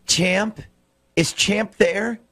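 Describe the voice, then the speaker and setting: A man's voice: high voice, fairly even intonation, extremely slow, a bit bright, dry recording. A man hosting a radio morning show, playfully pranking a caller. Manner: amused, playful. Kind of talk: prank call reveal.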